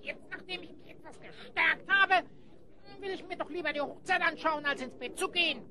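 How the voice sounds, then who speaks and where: Squeaky, cheeky little animal sidekick. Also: female voice, moderate pace, sharp tone. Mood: eager. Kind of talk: German children's audio drama acting.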